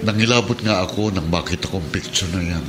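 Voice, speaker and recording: A man playing a kindly middle-aged Filipino policeman and adoptive father in a radio drama, measured and earnest. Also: sits low, natural voice. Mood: grave, earnest. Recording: dramatic music bed behind the voice.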